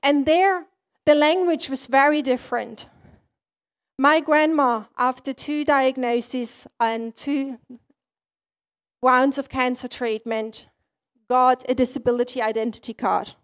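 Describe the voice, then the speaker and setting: A woman from East Germany, a native German speaker, giving a prepared conference talk as an academic, explaining in short, measured phrases. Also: sits high, steady tone, clear, resonant tone.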